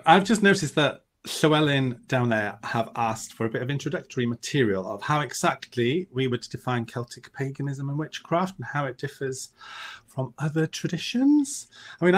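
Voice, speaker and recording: Welsh man, middle-aged, Welsh-speaking, enthusiastic, joking, video call from home. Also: medium pitch. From Wales. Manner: enthusiastic, self-deprecating humour. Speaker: author and druid, panelist. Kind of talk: casual panel talk. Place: home room.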